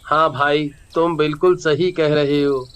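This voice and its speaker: Earnest village labourer for a cartoon, played by a man: plain-spoken, high pitch, slow pacing. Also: fairly even intonation, fairly bright tone, smooth. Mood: wistful, dismissive. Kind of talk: cartoon story dialogue.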